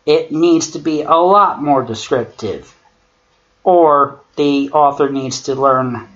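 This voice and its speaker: A man's voice: medium pitch, fairly bright tone. A man giving critical commentary on amateur horror fiction in a sarcastic, profane way. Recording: muffled lo-fi mic with clear noise.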